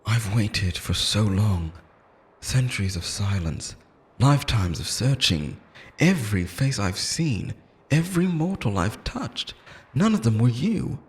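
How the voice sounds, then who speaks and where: Guy doing a yandere immortal lover, lonely n possessive, theatrical asmr roleplay, pitch all over the place, pleading then menacing. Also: big pitch swings, unhurried. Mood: bitter, anguished. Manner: theatrical, pleading, swinging between tender and menacing. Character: obsessive lovesick immortal man, lonely, possessive, unhinged.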